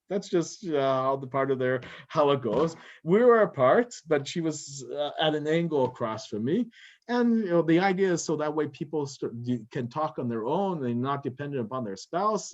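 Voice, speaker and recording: A man's voice: mid-range voice, a little mellow. Chuckling American man, muffled call audio. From United States. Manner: chatty, chuckles often between thoughts. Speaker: rabbi and guest speaker. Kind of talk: relaxed lecture Q&A.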